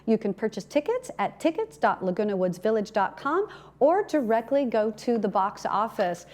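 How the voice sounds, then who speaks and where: Higher-pitched American woman hosting. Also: big pitch swings, normal speed, a little mellow. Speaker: TV show host. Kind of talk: interview chat and presenting local announcements.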